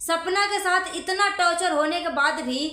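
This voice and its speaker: A woman's voice: very high-pitched, normal speed, pushed and bright, echoey room. A woman vlogger giving earnest, emphatic, repetitive commentary addressed straight to her viewers.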